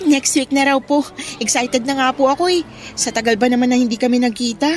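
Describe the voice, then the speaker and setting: High-voiced, trusting young wife played by a woman. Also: fairly even intonation, a little fast, faint music behind, lightly acted. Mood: cheerful. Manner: earnest, pleading. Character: trusting, defensive wife in her thirties, respectful daughter. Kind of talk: Filipino radio drama acting.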